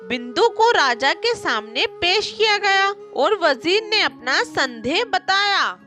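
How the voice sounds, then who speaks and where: Very high, bright woman storyteller. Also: sing-song, slow. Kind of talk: scripted story narration. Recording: music behind the voice. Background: background music.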